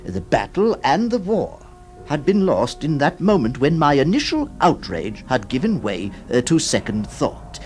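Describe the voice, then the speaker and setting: A man's voice: on the high side, big pitch swings, unhurried, darkish tone, soft background music. An actor playing a flustered, hesitant lawyer who stammers, also narrating his story in a radio drama. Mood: reflective. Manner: stammering, exasperated, theatrical.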